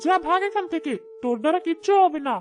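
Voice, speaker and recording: A woman voicing a mean, sharp-tongued village woman in a cartoon, taunting and scornful. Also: very high-pitched, lively intonation, fast talker, soft background music. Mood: mocking, contemptuous. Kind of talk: cartoon fairy-tale dialogue.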